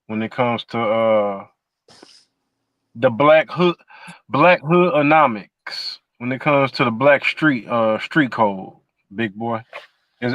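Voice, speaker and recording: A man's voice: medium pitch, a bit bright, no room sound, highs cut off. A man in his forties hosting a casual podcast chat, rambling through questions with lots of fillers and restarts. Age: 40s. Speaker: podcast host.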